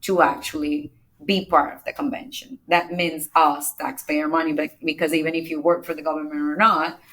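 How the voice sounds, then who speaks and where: Low-voiced woman explaining policy. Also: little pitch movement, fairly bright tone, rough recording. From Dominican Republic. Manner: measured, explains step by step with small restarts. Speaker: climate negotiator, podcast guest. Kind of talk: explanatory interview answers.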